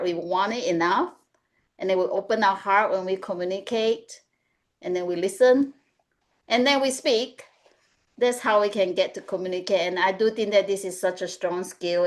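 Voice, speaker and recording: Woman. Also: mid-range voice. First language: Mandarin. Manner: earnest, advice-giving, often adds "right?". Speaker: panelist, executive coach. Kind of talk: webinar panel discussion.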